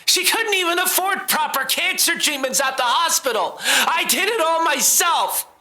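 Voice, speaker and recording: Man acting a cornered young man, high sharp voice. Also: lively intonation, exaggerated voice. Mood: desperate, angry. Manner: halting, sweary, emotional delivery. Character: cornered, defensive young man protesting his innocence. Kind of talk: dramatic audio drama acting.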